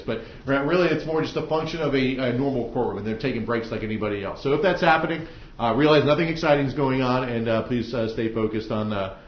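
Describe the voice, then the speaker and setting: Casting: American man as judge, hesitant with many uhs, explaining procedure, echoey and muffled courtroom audio. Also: medium pitch. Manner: hesitant, frequent ums and uhs. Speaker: judge presiding over jury selection. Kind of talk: semi-formal courtroom instructions to jurors. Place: indoor courtroom. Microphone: room microphones in the ceiling. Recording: dull, echoey courtroom microphone pickup.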